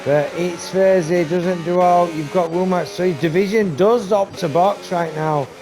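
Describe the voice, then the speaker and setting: A man giving live commentary on a sim race, talking to viewers and losing his voice, with race car engines loud behind him. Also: a little slow, over music. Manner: excitable, talks to viewers, rising to high bursts on action. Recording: noisy stream with engine sound. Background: race car engine noise.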